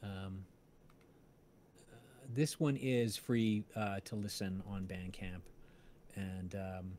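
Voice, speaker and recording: A man's voice: low-pitched, fairly even intonation, takes it slowly, darkish tone. Guy hosting a casual stream over voice call, some noise behind him. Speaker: livestream host. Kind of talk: casual livestream chat. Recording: noisy online voice call.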